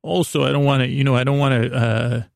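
A man hosting a podcast with a rambling comedic bedtime monologue, halting and easily distracted, often trailing off mid-thought. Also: mid-range voice, fairly even intonation, vocal fry, dry recording, studio quality. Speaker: podcast host.

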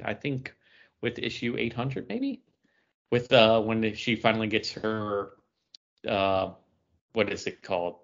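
A man's voice: mid-range voice, a bit bright, highs cut off. A man chatting casually and informally as a podcast co-host, with bantering delivery. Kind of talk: casual chat about comic books.

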